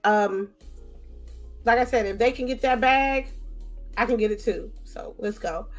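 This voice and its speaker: A woman's voice: on the high side, a little slow, faint music behind. A woman with an American accent hosting a casual gaming podcast and reading listener questions aloud to her co-hosts. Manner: reads questions aloud, apologetic and laughing. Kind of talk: casual chat.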